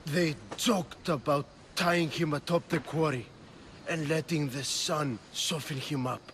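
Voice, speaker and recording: An actor playing a wounded soldier, the only survivor of an ambush, speaking tersely and wearily in a video game cutscene. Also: male voice, on the high side, steady tone, unhurried, fairly bright tone, a little hiss or hum. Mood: grim. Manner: terse, weary.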